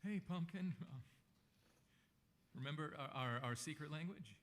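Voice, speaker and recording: An actor playing a gentle, worried father who speaks softly and coaxingly to his daughter. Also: on the high side, a little slow. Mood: tender. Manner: soft, coaxing.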